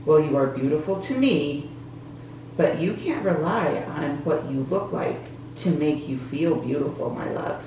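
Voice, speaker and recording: A gentle storybook mother as a woman reader plays her comforts her child in a low, measured voice. It is tender and reassuring, with heavy room echo. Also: expressive. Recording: roomy echo, distant mic, faint noise.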